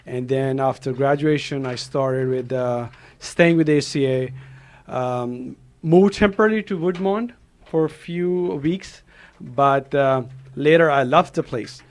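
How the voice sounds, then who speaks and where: A man in his thirties from Pakistan, a doctor guesting on a radio show, speaking in an earnest, halting way with many fillers. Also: mid-range voice. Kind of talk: casual interview talk. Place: radio studio.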